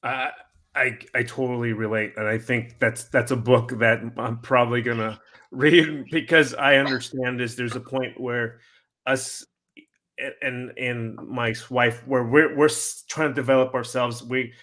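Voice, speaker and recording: A man chatting casually as an interview host, halting and often repeating himself as he talks. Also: medium pitch, steady tone, fairly bright tone. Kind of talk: casual chat.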